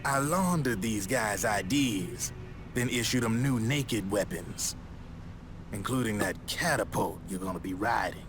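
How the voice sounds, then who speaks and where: Low-voiced, slick streetwise arms dealer. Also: male voice, animated, a little slow, music in the background. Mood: breezy, salesmanlike. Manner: slangy, smooth-talking, casual swagger. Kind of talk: dramatic video game voice acting. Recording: clear background noise and ambience.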